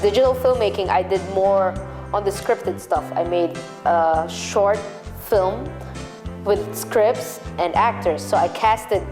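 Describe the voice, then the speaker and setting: Woman from the philippines, casual student chat, mid pitch, faint music under. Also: takes it slowly. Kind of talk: casual interview.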